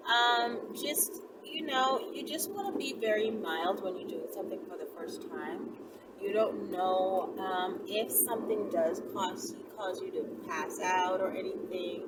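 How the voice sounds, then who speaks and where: A woman's voice: medium pitch, a little slow. A woman talking casually as an online host answering viewers, informal, sassy and emphatic, repeating herself to make a point. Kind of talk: casual chatty vlog talk. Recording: distant mic, loud vehicle-like background noise.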